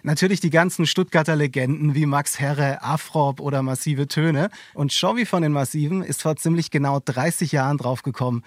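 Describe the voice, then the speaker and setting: A man's voice: fairly high pitch, a little fast, few pauses, no echo, clean studio sound. A man in his forties hosting a podcast, chatting in an upbeat, conversational way. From Germany. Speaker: podcast host. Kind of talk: casual podcast chat.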